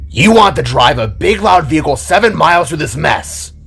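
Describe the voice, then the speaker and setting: A man's voice: fairly high pitch, expressive, moderate pace, vocal fry, bright, forceful tone, breathy edge, soft background music, voice pushed up, heavily acted. Man playing a stern, skeptical survivor.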